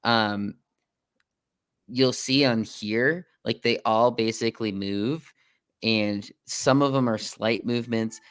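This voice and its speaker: A man's voice: medium pitch, slow pace, smooth, no room sound, studio quality. A man hosting a casual interview, putting his questions in a loose, rambling way. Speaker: podcast host, interviewer.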